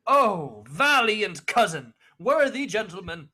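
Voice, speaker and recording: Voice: mid-range voice, sing-song, slow pace, sharp tone, slight background noise, pitched above the performer's own voice, exaggerated voice. A student actor playing a grateful, regal king of Scotland, declaiming in the style of a 1940s radio Shakespeare play. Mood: admiring. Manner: stately, declamatory.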